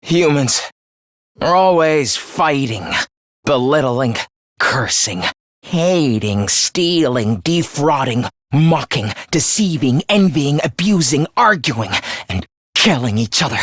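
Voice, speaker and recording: A volatile, theatrical young student. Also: male voice, a little high, very animated, normal speed, dry recording, clean studio sound. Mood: bitter, contemptuous. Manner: theatrical, articulate, swinging from earnest to cold contempt. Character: young male student, earnest surface, cold misanthropic killer beneath. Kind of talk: dramatic anime-style video game voice acting.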